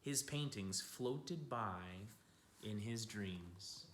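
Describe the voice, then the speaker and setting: A man giving an expressive read-aloud of a picture book for children, like a teacher speaking to young listeners. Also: fairly low pitch, extremely slow, slight background noise. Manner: addresses young listeners with simple asides and questions. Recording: roomy, echoing room sound.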